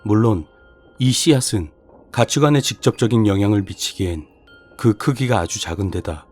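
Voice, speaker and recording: A man narrating a scripted horror story in the first person, with a measured, brooding delivery. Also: on the low side, a little mellow. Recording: music behind the voice.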